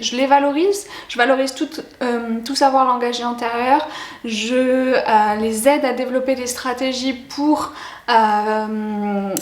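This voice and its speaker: High-voiced woman explaining in an echoey room. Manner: didactic, addresses viewers directly. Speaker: language teaching presenter. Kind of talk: explanatory educational video talk. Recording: echoey, roomy sound.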